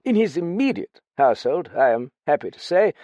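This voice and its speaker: A man's voice: a little high, sing-song, a little fast, warm tone, no echo, highs cut off, pitched above the performer's own voice. A man putting on a grandly drunk ex-officer. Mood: vague. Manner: slurred dignity, giggling, blustering. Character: drunk pompous ex-officer secretary, lanky, vague.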